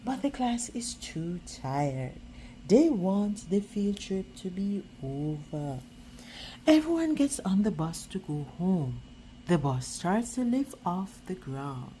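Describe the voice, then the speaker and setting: A woman reading a children's picture book aloud as a storyteller, expressive and now and then halting over words. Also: fairly low pitch, slight background noise, low-quality recording.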